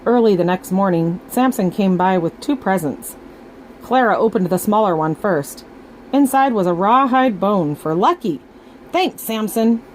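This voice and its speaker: A woman reads a children's storybook aloud in an expressive way, as a grandmother would for young listeners. Her pitch is medium, and there is some noise in the recording. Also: moderate pace, rough recording. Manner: expressive, acting out the dialogue. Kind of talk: reading a children's picture book aloud with asides.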